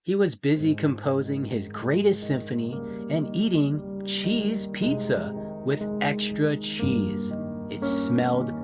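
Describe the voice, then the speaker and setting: A man reading a children's picture book aloud in a theatrical way, with deliberate pauses and emphasis. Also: medium pitch, very animated, over loud music. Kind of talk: dramatic children's storybook narration.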